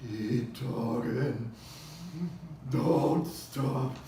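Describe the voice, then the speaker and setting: A man playing a creepy caller who drags out every word, taunting and unhurried. He sounds far from the mic in an echoey room. There is a lot of background noise. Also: medium pitch, fairly even intonation. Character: creepy male obscene phone caller. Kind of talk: horror film table read.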